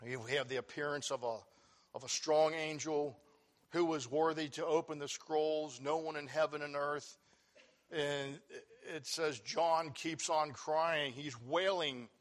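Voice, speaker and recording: Preacher, male, loud and earnest, teaching scripture, voice sits a bit high. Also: fairly even intonation, unhurried. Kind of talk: sermon, Bible teaching.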